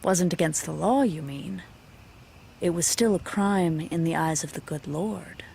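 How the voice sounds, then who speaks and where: A woman's voice: fairly low pitch, a little slow, creaky, darkish tone. A woman playing a devout, judgmental handmaid in an audio drama, prim and controlled in dialogue. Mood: righteous. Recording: light ambient scene noise.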